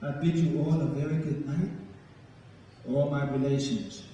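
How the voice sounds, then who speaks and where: High-voiced New man in an echoing hall. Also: fairly even intonation, mellow, lo-fi sound, a little hiss or hum. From South Bronx, New York. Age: middle-aged or older. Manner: declamatory, solemn, deliberate phrasing. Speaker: spoken word poet. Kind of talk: dramatic live poetry recitation with spoken introduction. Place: large indoor hall, live performance before an audience. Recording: echoey hall, distant microphone.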